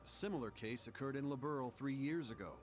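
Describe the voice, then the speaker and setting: An actor playing a composed older veteran with measured, formal speech in a video game. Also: male voice, mid-range voice, soft background music, lightly acted. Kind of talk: video game cutscene voice acting.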